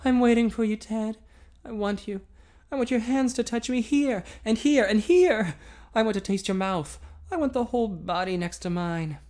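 A man voicing a pleading, bold young woman in a read-aloud romance novel, in a high, breathy voice. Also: expressive, moderate pace, darkish tone.

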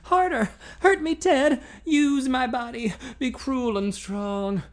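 A man putting on a breathy, pleading young woman. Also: extremely high pitch, slow, pitched above the performer's own voice.

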